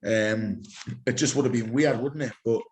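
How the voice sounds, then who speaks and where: Bloke hosting, middle pitch, rambly and sweary. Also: male voice, moderate pace, darkish tone. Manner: rambling, sweary, laughs often. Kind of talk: casual chat among fans.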